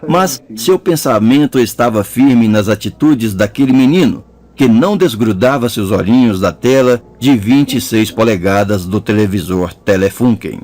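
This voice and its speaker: A man reading a novel aloud as an audiobook narrator, in a measured, even storytelling manner. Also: lowish voice.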